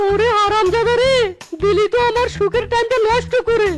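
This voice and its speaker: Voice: very high-pitched, fairly even intonation, normal speed. An actor playing an amorous, easily vexed husband in a cartoon, melodramatic in his delivery. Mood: annoyed. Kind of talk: cartoon voice acting. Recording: loud children's music behind.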